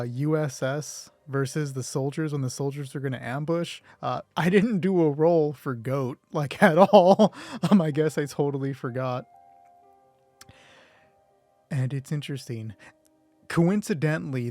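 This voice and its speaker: A man's voice: a little high, darkish tone. A man hosting a solo roleplay show in casual, rambling, self-deprecating commentary, swearing offhandedly. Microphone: close mic with gain set high.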